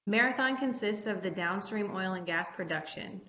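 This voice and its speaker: A woman in her late twenties from Ohio, presenting in a steady, businesslike way. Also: normal speed. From Ohio, United States. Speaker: projects engineer presenting. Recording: echoey room, muffled low-fi, faint hiss.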